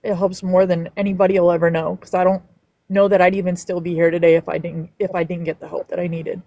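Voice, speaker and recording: Earnest woman speaking with quiet emotion. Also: fairly low pitch, little pitch movement, fast, dry recording. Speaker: panel guest. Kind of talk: personal testimony on a live stream.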